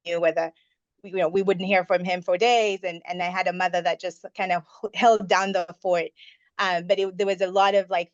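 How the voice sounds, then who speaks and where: Low-voiced woman, muffled dry sound. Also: no echo. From Uganda. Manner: earnest, reflective, detailed. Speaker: guest, investment partner. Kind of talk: personal storytelling in a podcast interview. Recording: slightly muffled dry recording.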